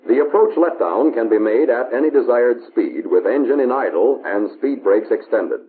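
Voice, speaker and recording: An American man narrating a 1950s instructional film in a measured, authoritative, instructional manner, on an old film soundtrack. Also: mid-range voice, animated. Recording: tinny band-limited 1950s film soundtrack, hiss.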